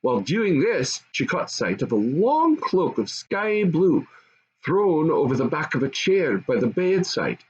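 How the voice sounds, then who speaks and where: An older man reads a classic fairy tale aloud in an expressive, measured, grandfatherly storytelling style. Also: fairly high pitch, husky, muffled recording. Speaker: storybook reader.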